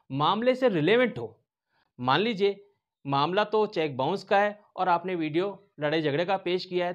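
Higher-pitched middle-aged man explaining, slightly dull sound. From India. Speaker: advocate explaining law, presenter. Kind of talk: explanatory legal lesson to camera. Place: small indoor office with books and curtains. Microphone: lapel mic.

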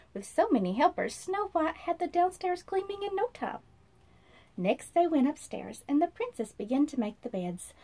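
A woman in her thirties reading a children's storybook aloud in an expressive, sing-song manner, as if to young listeners. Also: high-pitched. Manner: sing-song, animated, asks listeners questions. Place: small indoor room.

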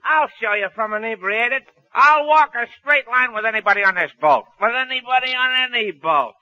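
A man's voice: very high-pitched, animated, brisk, pushed and bright, heavily acted. Piercing, brash drunken man. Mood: drunken, belligerent. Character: brash, drunken, needling shipboard pal. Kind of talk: 1940s radio comedy acting. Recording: dull 1940s radio recording.

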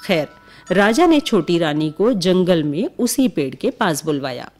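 A woman's voice: fairly low pitch, sing-song, unhurried, a little mellow. A woman narrating a scripted bedtime story for children as the storyteller. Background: music with chimes.

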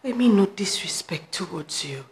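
An actress playing a determined young girlfriend in a screen drama, pleading her case emphatically and haltingly when upset. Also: medium pitch, lively intonation, darkish tone, slight background noise, close to an everyday voice. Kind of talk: naturalistic screen drama dialogue.

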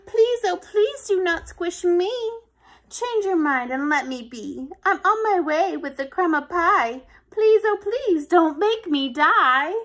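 A woman's voice: extremely high pitch, lively intonation, slow, a bit bright, voice pushed up, heavily acted. A woman voicing a tiny, plucky ant in a rhyming storybook, imploring and emphatic. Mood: pleading. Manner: imploring, emphatic sing-song rhyme.

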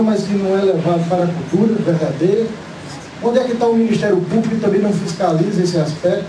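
Middle-aged man, earnest and indignant, high voice, very mellow tone, handheld mic, echoey auditorium taped from afar. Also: far from the mic, a little hiss or hum. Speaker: audience member asking a question. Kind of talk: public question at a lecture. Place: large auditorium, seated in the audience.

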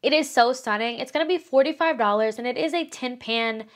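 A woman's voice: a little high, brisk. A woman in her twenties reviewing new makeup releases to camera in a casual, enthusiastic way, her voice slightly strained from screaming, recorded in a small home studio. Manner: upbeat, enthusiastic, chatty. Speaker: beauty vlogger.

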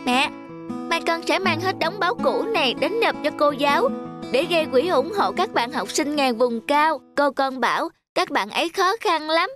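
A woman's voice: sits high, lively intonation, moderate pace, over music. A woman voicing an eager, friendly, caring young boy in a cartoon. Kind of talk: cartoon dubbing.

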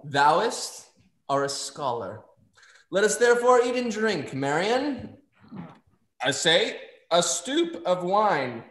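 A man's voice: sits high, sing-song, takes it slowly, a bit bright, exaggerated voice. Man acting a boisterous drunken knight in an echoey room. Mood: merry, loud. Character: boisterous drinking knight, pompous and merry. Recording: echoey room over video call.

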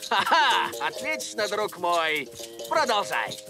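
A man's voice: high-pitched, very animated, slow pace, exaggerated voice. A man voicing an upbeat, friendly young capoeira mentor in a cartoon dub, encouraging and instructive. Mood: cheerful encouraging. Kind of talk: animated cartoon dub acting. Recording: background music over dialogue.

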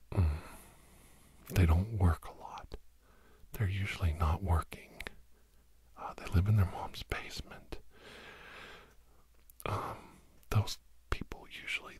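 A middle-aged American man whispering a rambling, conspiratorial comic ASMR monologue in a halting way, breathy and close to the microphone. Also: deep voice. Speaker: podcast host. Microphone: close-up microphone, monitored on headphones.

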